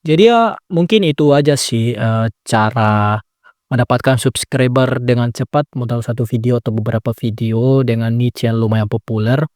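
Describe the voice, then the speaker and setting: A man's voice: medium pitch, normal speed, a little mellow, no echo, studio quality. Laid-back young man walking through a tutorial. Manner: laid-back, chatty, with frequent filler tags. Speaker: tutorial creator. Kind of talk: casual screen-recording tutorial.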